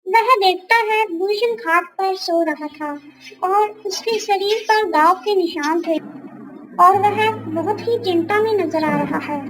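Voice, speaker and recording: A woman's voice: very high-pitched, slow pace, low-quality recording. A woman narrator reading a scripted moral story for a cartoon.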